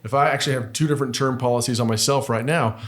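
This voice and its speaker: Man explaining insurance, calm and methodical. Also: medium pitch, very fast. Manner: patient, explains step by step with examples. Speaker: insurance broker co-host. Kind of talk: explanatory conversational chat.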